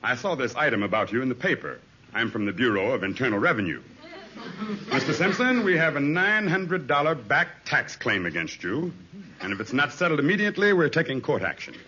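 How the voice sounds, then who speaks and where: An actor playing a formal government tax agent, courteous but firm, in old-time radio sitcom style. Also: male voice, medium pitch, sing-song, fairly quick, sharp tone. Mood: businesslike. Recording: muffled noisy vintage radio recording.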